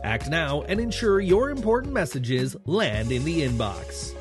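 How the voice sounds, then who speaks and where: A man's voice: fairly high pitch, big pitch swings, unhurried. A man reading a scripted ad in a polished, upbeat sales delivery. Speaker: ad announcer. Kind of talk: scripted ad read. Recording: ad read with music underneath.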